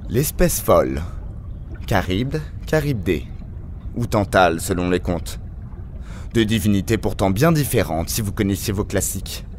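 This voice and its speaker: An actor playing a haughty, eccentric master of an undersea dome in an audio drama, pompous and scornful. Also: male voice, low-pitched, lively intonation, unhurried, a little mellow, a little hiss or hum. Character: haughty eccentric owner of an undersea dome, fervent creature-lover. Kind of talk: dramatic audio fiction acting.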